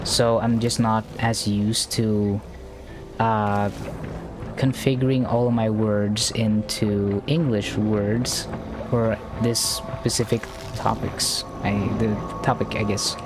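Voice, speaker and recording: A man's voice: on the low side, little pitch movement, slow pace. Man, live game streamer, stuttery, repeats himself, game music under him. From Norway. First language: Norwegian. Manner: stammering, repeats words, thinking aloud. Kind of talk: live gameplay commentary.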